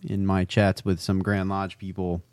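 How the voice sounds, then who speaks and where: A man's voice: deep voice, flat delivery, moderate pace, a little mellow, clear, resonant tone, dry recording. A man co-hosting a podcast, chatting casually in a wry, teasing way. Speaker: podcast co-host. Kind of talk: casual banter and discussion.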